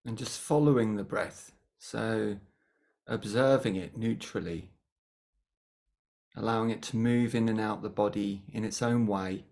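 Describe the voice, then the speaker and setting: A meditation teacher leading a guided practice in a gentle, unhurried manner with long pauses between phrases. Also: medium pitch, steady tone, vocal fry.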